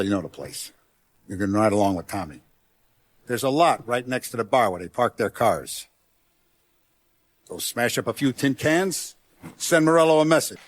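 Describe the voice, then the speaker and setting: A man performs a commanding Italian-American mafia boss from a 1930s gangster video game. His middle-aged male voice moves widely up and down in pitch, recorded dry and close. He talks in blunt street slang, with authority and a vengeful edge. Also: no echo, lightly acted.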